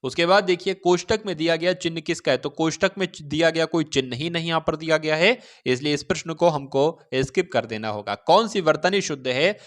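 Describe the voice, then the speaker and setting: A man's voice: a little high, fairly bright tone. A man teaching an online exam-prep class, explaining step by step, putting questions to listeners and checking in with them. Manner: instructive, repeatedly asks questions and answers them himself; addresses listeners directly with repeated check-in phrases. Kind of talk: Hindi grammar lesson for exam aspirants.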